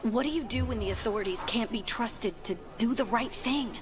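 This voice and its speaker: An actress playing a friendly, plucky young scout in a video game, direct and inquisitive. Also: female voice, fairly high pitch, moderate pace, natural voice. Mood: curious. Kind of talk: video game dialogue acting. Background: game music.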